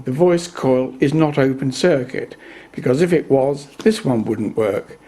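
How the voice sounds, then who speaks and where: A man giving an unscripted, methodical hands-on explanation in a measured way, as the presenter of a repair video. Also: medium pitch, dark tone, slightly rough.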